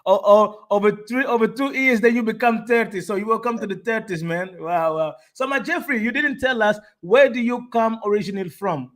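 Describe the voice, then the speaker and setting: A man hosting a live online interview, excitable and repeating himself, often stopping to restart a phrase. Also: high-pitched. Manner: enthusiastic, repeats words, halting restarts. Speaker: host of a live online show. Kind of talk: casual interview.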